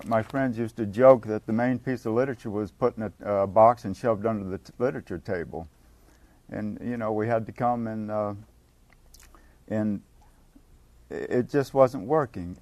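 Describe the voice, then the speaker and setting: An older man giving a casual workshop talk to a live group on a handheld mic, halting and often restarting his sentences. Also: fairly low pitch, a little mellow, no echo. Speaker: workshop speaker at a recovery meeting. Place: meeting room with an audience. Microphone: handheld microphone.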